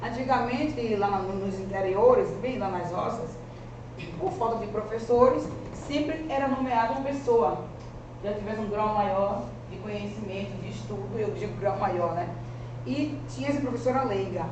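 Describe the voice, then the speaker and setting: A woman's voice: medium pitch, normal speed. A woman in her forties with a strong rural Bahian accent, a teacher presenting her research in an earnest, conversational way. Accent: rural Bahian Brazilian Portuguese. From rural Bahia, Brazil. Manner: earnest, conversational, with frequent restarts. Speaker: teacher and master's student. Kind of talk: research seminar presentation, spoken freely. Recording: echoey, distant, noisy room audio.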